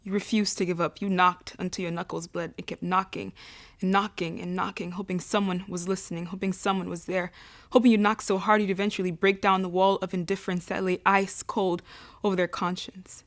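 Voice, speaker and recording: A woman's voice: fairly low pitch, little pitch movement, a little fast. A woman narrating a spoken-word poem in an earnest, rhythmic delivery.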